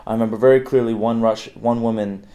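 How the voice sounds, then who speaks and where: A man's voice: medium pitch, steady tone, fairly quick, phone-quality sound. A man giving a reflective documentary interview.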